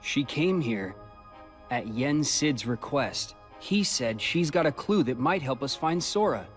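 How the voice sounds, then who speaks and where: An actor playing an earnest, reserved young male hero in a video game, speaking in a measured way. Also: mid-range voice, animated, soft background music, close to an everyday voice.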